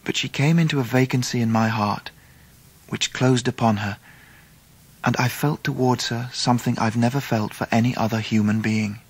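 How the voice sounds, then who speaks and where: Husky English narrator remembering childhood. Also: male voice, mid-range voice, fairly even intonation, rough recording. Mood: reflective. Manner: reflective, earnest, measured. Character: grown man earnestly recalling his painful childhood. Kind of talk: dramatised first-person narration.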